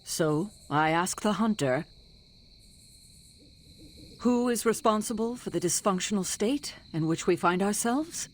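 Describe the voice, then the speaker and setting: A woman voicing a stern, weary team leader in a game, speaking in a measured, earnest, formal way. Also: mid-range voice, expressive, a little hiss or hum, lightly acted. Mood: grave.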